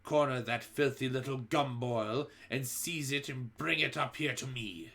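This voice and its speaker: A man reading a storybook aloud, voicing the cruel female leader of the witches as she barks slow, menacing orders. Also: mid-range voice, gravelly, a bit bright. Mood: commanding.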